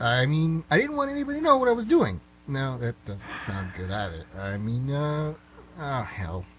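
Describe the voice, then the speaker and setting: A man's voice: mid-range voice, slight background noise. A man acting a flustered, middle-aged guy who nervously rambles and corrects himself in an amateur radio drama. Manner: nervous, halting, self-correcting, excitable. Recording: slightly rough amateur radio recording.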